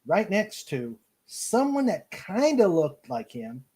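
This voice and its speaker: A man from Ohio with an American accent, hosting a casual live chat and often halting as he searches for words. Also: high-pitched, wildly swooping pitch, dark tone, slightly rough. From Cleveland, Ohio, United States. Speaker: podcast host. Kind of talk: casual live chat, reading out listener comments.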